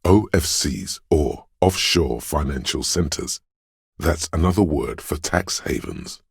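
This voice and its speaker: Very deep, gravelly know-it-all inner voice. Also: male voice, expressive, dry recording, studio quality, heavily acted. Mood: informative, dry. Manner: measured, erudite, matter-of-fact, pedantic. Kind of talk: scripted game narration of trivia and facts.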